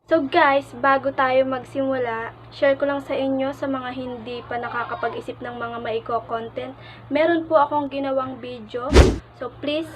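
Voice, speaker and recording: High-pitched Filipino woman vlogging. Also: moderate pace, lo-fi sound, background noise. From Philippines. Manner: animated, giggly, informal. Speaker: vlogger, prank caller. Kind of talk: casual chatty vlog.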